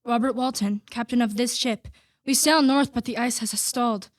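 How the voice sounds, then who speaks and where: A woman's voice: on the high side, fairly even intonation, normal speed, dry recording, clean studio sound, close to an everyday voice. A woman playing a grave, concerned male sea captain in a radio play, with formal, earnest delivery. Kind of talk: theatrical radio play acting.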